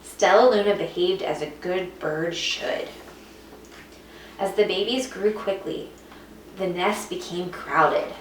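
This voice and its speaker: A woman's voice: on the low side, takes it slowly. Woman reading a storybook in an echoey room. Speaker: teacher reading aloud. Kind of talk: expressive scripted storybook narration. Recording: echoey room, distant mic, faint noise.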